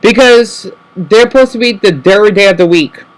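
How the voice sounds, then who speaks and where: A man talking to camera in a rambling, self-correcting way, often checking in with "right?" and slowly spelling out words. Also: sits high, rough recording. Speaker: vlogger. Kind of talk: rambling casual monologue.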